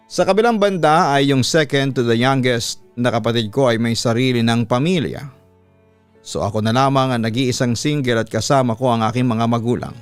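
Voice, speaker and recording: A man's voice: clean tone, dry recording. Dramatic male radio storyteller. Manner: theatrical storytelling with sudden pitch lifts. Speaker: radio announcer and host. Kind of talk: dramatic reading of a listener's horror letter. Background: faint background music.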